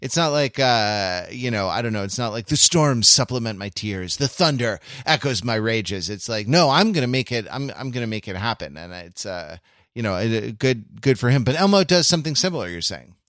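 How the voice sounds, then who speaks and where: Male podcast co-host, medium pitch, bookish and halting delivery, very dry recording. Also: animated, fairly bright tone, dry recording. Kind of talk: casual discussion.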